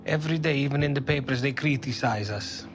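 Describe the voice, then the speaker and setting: An actor playing a passionate, burdened football manager with an Italian accent in a video game cutscene. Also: male voice, mid-range voice, brisk, close to an everyday voice. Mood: burdened, earnest. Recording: music behind the voice.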